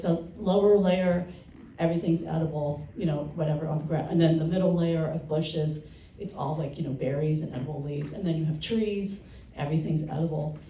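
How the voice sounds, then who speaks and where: A woman's voice: on the low side, mellow. A woman speaking as an author on a panel, explaining things to an audience in an informal, chatty way. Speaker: panelist, children's book author. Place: panel session in a room. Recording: roomy, distant mic, faint noise.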